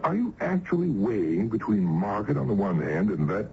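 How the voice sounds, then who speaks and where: An actor playing a sly, mocking supernatural stranger who teases humans in a theatrical old radio drama style. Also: male voice, lowish voice, very animated, a little fast. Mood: mocking, amused. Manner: teasing, chuckling, theatrical. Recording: dull vintage radio recording, faint noise.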